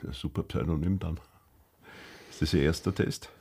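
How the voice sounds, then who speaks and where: A man's voice: a bass voice, moderate pace. An actor playing a male clinic counsellor in a radio drama, polite, hesitant and soothing. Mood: polite, careful. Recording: noticeable noise and clicks behind.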